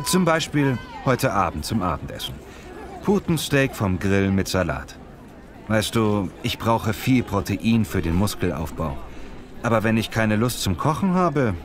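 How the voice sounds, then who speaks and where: Mann spielt tiefer klingender, muskelstolzer Deutschlerner, draußen mit Straßengeräuschen. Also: male voice, lowish voice, background noise, lightly acted. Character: lazy, muscle-proud male German learner from Italy. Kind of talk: scripted language-lesson dialogue.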